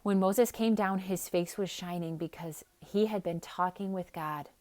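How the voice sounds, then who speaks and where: A woman's voice: fairly low pitch, a little slow. Theatrical woman reading a children's storybook. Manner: expressive, dramatic, with hushed emphasis. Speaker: storyteller reading a picture book aloud for children. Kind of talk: theatrical read-aloud narration with casual asides.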